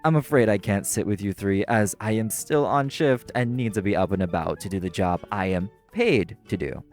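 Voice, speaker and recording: Man acting a low-voiced, exasperated waiter. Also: moderate pace, soft background music, close to an everyday voice. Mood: weary exasperation. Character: exasperated, primly formal young waiter teased by partners. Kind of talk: audio roleplay acting.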